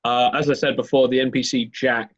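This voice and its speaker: A man chats casually about running tabletop games, his voice at an average pitch. He rambles with many fillers and restarts. The sound is dull and lo-fi. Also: level tone, fairly quick. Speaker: game master. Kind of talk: casual podcast chat.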